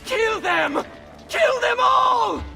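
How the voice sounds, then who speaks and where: An actor playing an arrogant young noble villain who taunts in a slow, sneering drawl. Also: male voice, extremely high pitch, lively intonation, vocal fry, shouting, far from the mic, over music, full character voice. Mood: furious.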